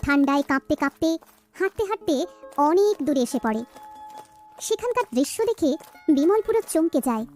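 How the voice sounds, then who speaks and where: Female storyteller, very high voice, scripted cartoon narration, faint music underneath. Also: sing-song, fairly quick, long pauses, darkish tone.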